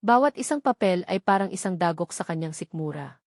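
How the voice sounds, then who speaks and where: A woman's voice: on the low side, lively intonation, a little fast, darkish tone, dry recording, studio quality. A woman with a Filipino accent reading a scripted dramatic love story as its narrator.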